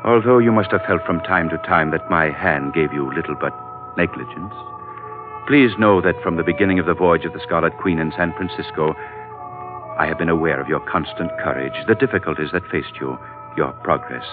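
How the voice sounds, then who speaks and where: An actor playing an elderly, dignified Chinese patriarch who speaks formally and solemnly in a vintage radio drama. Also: male voice, sits low, natural voice. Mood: solemn pride. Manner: formal, stately, measured oratory. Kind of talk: 1940s radio drama acting. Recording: muffled vintage radio recording, music behind.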